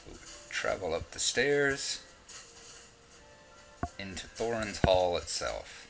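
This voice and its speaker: A man with a Southern US drawl narrates a game walkthrough in a deep, low voice. His tone is bright and forward. He rambles in a relaxed way and pokes fun at himself between directions. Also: takes it slowly, soft background music. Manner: relaxed, rambling, self-mocking asides. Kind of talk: casual gameplay commentary.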